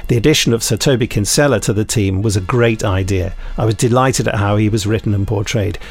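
A man's voice: fairly low pitch, fairly quick, husky. A British man co-hosting a podcast, reading out listener emails and jokily breaking off to add his own comments. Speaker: podcast co-host.

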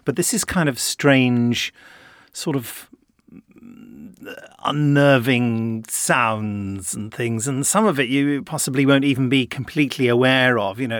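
A man's voice: medium pitch, no room sound, studio quality. Rambling male podcast host. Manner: chatty, rambling with many restarts. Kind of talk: casual studio chat. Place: recording studio.

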